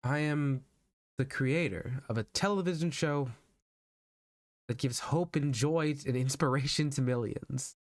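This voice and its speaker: A man performing a dramatic film monologue as a controlling, fatherly television creator, measured, grandiose and coaxing. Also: medium pitch, no echo, clean studio sound, natural voice. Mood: solemn, assured. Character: calm, controlling, fatherly male TV show creator.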